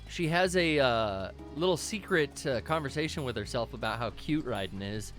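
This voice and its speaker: A man's voice: medium pitch, expressive, moderate pace, fairly bright tone. A man in his thirties co-hosting a live game stream, chatting casually, laughing often and swearing freely. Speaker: streamer co-host. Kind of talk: casual gameplay commentary. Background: game music.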